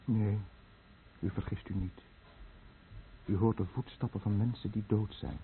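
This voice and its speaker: A man's voice: on the low side, fairly even intonation, measured pace. An actor playing a dying, guilt-ridden fisherman who tells of his lost village in a brooding, bitter radio drama monologue. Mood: bitter remorse. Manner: brooding, bitter, confessional monologue addressed to the listener. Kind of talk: Dutch-language radio drama narration. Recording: muffled narrow-band old radio recording, faint noise.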